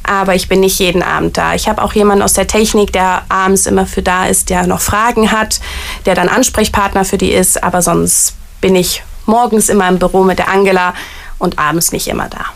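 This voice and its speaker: A woman's voice: mid-range voice, fairly even intonation, a little fast, creaky. Chatty woman explaining her job. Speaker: artist coordinator at a variety theatre. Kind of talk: casual interview answers.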